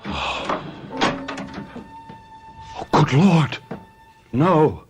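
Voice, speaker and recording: An actor playing a trapped, bitter, murderous husband in a radio drama, brooding and melodramatic. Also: male voice, on the high side, lively intonation, drawn-out words, music in the background. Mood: shocked.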